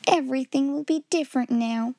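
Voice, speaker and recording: A woman voicing a cold, domineering schoolmistress with a sharp, scolding manner, in a storybook reading. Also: sits high, brisk, dry recording, voice pushed up. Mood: cold, commanding.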